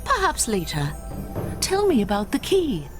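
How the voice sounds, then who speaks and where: A woman voicing a composed, resolute female elf heroine in a video game, measured and brief. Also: fairly high pitch, huge pitch swings, music in the background. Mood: determined. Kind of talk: video game cutscene voice acting.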